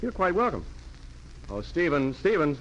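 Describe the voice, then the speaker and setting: A man's voice: fairly high pitch, very animated, normal speed. An actor playing an easygoing, teasing idle young rich man in a 1940s radio drama. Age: young adult. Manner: breezy, teasing. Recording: dull, hissy 1940s radio recording.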